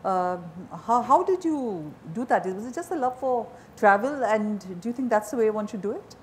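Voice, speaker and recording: A woman's voice: fairly high pitch, animated, normal speed, a little hiss or hum. A woman in her fifties with an Indian accent, asking questions conversationally as an interview host. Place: indoor lounge set with wood panelling.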